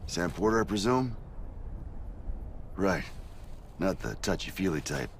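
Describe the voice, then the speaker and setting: An actor playing a businesslike corpse disposal worker in a video game, clipped and dry. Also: male voice, deep voice, animated, unhurried, slight background noise. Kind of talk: video game cutscene acting.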